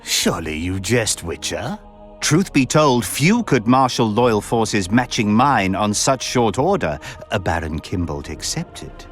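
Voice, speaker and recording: An actor playing a refined, verbose count in a video game, speaking in florid, theatrical, pompous phrases with sardonic and lecherous relish. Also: male voice, fairly low pitch, big pitch swings, unhurried. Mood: wry, candid. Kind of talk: video game cutscene voice acting. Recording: music behind the voice.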